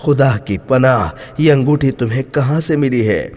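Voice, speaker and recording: A man's voice: mid-range voice, animated, moderate pace, a little mellow, close to an everyday voice. A man voicing a stern, imperious king in a story, speaking in a commanding, formal way. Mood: astonished. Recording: long hall-like reverb.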